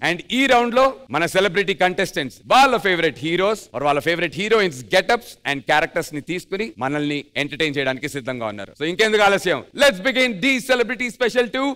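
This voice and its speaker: High-voiced, fast-talking male show host. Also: sing-song, pushed and bright, clean tone. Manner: upbeat, mixes English into Telugu. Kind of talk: energetic stage anchoring. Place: TV studio stage. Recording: music playing behind.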